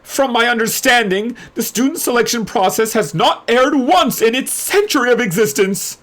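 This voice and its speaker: High-pitched, flustered, theatrical head mage. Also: male voice, moderate pace. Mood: flustered, protesting. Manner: excitable, dramatic, protesting.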